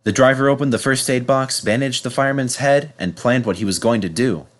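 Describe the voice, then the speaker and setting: A man reading a children's story aloud in an animated, scripted narration style. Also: mid-range voice, brisk. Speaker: storybook reader.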